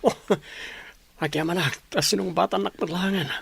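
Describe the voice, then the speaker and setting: A man's voice: sits high, steady tone, moderate pace, gravelly, a bit bright, husky, exaggerated voice. A man with an Ilocano accent playing an eager, boastful suitor in a radio drama, wooing with big promises. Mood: elated.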